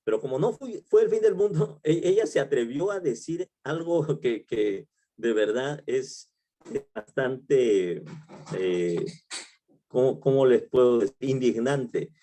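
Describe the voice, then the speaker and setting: A man's voice: medium pitch, animated, a little slow, warm tone. A middle-aged man with a Peruvian Spanish accent, teaching a Bible study in a conversational way, with many fillers, restarts and tag questions. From Peru. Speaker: pastor.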